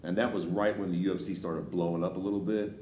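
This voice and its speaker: A man in his thirties from Dayton, a podcaster, telling stories in casual talk-show chat with a deep voice. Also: fairly even intonation, fast talker, warm tone, echoey room, a little hiss or hum. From Dayton, Ohio. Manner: laid-back, joking storyteller. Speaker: podcaster guest.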